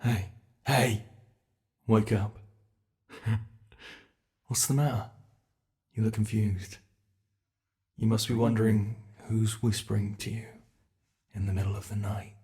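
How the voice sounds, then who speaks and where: A man voicing a gleeful, menacing, centuries-old monster under the bed in an ASMR horror roleplay, teasing in a whispery way with drawn-out words. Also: on the low side, steady tone, mellow. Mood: teasing, sinister.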